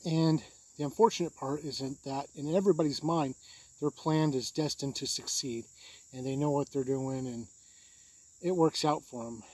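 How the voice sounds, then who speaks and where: A man with a fairly high, husky voice hosts a game review video. His tone is mellow and soft-edged, and he talks through rules in a conversational way, like a host explaining things to viewers. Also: moderate pace, no echo, low-quality recording.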